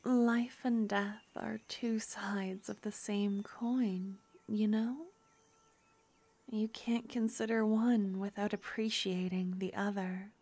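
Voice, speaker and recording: A woman voicing a tender young necromancer girlfriend, talking in a soft whisper in a fantasy ASMR roleplay. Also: mid-range voice, flat delivery, slow, dry recording.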